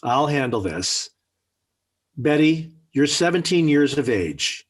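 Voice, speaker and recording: A man's voice: fairly high pitch, very animated, takes it slowly, heavily acted. An actor playing an exasperated, blustering middle-aged father in an emphatic, flustered 1940s radio sitcom style. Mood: indignant. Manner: emphatic, flustered, sputtering indignation. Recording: old 1940s radio recording.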